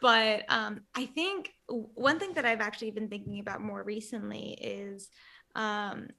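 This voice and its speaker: A woman's voice: medium pitch, unhurried, vocal fry, bright, forceful tone. A young woman from the United States who grew up speaking Romanian, hosting a chatty interview on a headset from a small room, with many um fillers. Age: young adult. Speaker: host. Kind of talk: casual video-call interview.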